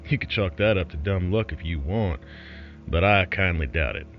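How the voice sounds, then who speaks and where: A man plays a folksy renegade broadcaster spinning a horror tale. The low voice swings expressively, sardonic and conspiratorial in tone. It sits over music in a slightly muffled recording. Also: animated, moderate pace. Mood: wry, conspiratorial. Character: wry, folksy male underground broadcaster telling eerie tales. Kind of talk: scripted horror storytelling as a broadcast.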